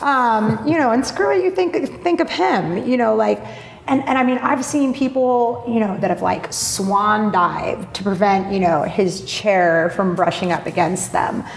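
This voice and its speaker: A woman's voice: medium pitch, measured pace, vocal fry. A woman guest in an interview, talking bluntly and openly with lots of fillers and some swearing. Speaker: podcast guest, marketing blogger. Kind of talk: candid interview talk. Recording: roomy echo with faint noise.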